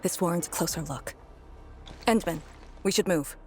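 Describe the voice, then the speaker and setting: Actress as military task leader, woman, lowish voice, tense and self-critical, game dialogue over music. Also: moderate pace, a little mellow, natural voice. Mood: composed, resolute. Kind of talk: video game voice acting.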